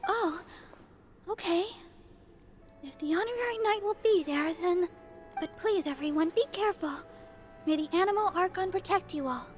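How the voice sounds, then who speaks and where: An actress playing a sweet, earnest young church deaconess in an anime-style game, with a gentle and thoughtful manner. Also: female voice, very high voice, normal speed, pauses often. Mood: concerned. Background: game music.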